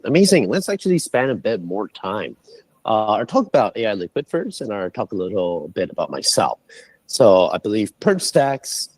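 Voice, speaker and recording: A man from Singapore speaks as a guest over a remote call, with a somewhat low, husky voice. His pitch swings widely as he explains, lifting on the points he stresses. The sound is dry and close, with no room around it. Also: no echo, low-quality recording. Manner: animated, explanatory, pitch jumping on numbers and key points. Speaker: guest, head of global strategy. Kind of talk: livestream interview talk. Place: indoors, calling in from abroad.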